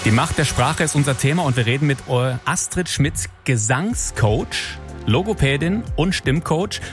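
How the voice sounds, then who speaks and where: A man's voice: a little high, normal speed, over music. A man hosting a morning radio show, reading traffic and chatting casually. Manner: relaxed, chatty.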